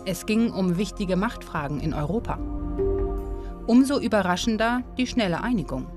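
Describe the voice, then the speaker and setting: A woman giving scripted documentary narration in a measured, even storytelling delivery. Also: medium pitch. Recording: narration over loud music.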